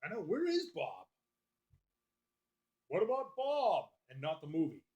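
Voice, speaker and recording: A man's voice: a little high, huge pitch swings, a little slow, darkish tone. A man hosting a live stream, chatting loosely with viewers and swearing freely as he answers their comments. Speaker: livestream host.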